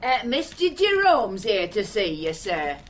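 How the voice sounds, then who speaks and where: A woman's voice: medium pitch, very animated, unhurried, slight background noise. An actress in a radio play playing an attentive, fussing woman serving at an inn. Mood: announcing. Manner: attentive, insistent, deferential.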